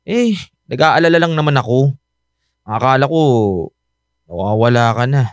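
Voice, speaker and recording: An actor playing Felix, a clingy young boyfriend in love, emotional and coaxing in a radio romance drama. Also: male voice, mid-range voice, normal speed, smooth, no room sound, studio quality, close to an everyday voice. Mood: tender, pleading. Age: young adult.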